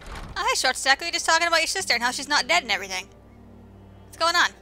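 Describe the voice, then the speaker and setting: Female game commentator, high and piercingly bright, sarcastic and informal, casual delivery over game music. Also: big pitch swings, racing. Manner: sarcastic, exclamatory; informal, swearing. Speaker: gameplay commentator.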